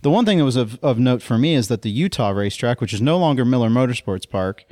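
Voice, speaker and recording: Chatty man host, explains in long runs, mid pitch, dry close sound. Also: fast talker, no echo. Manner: animated explainer, talks in long runs with "right?". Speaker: podcast host. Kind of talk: casual chat.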